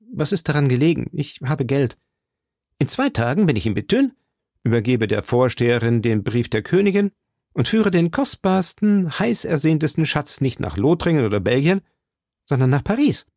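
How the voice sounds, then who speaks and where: A man voicing a bold, impetuous young gentleman musketeer in an audiobook. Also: a little high, vocal fry, no echo, studio quality, voice pushed up. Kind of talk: audiobook character voice.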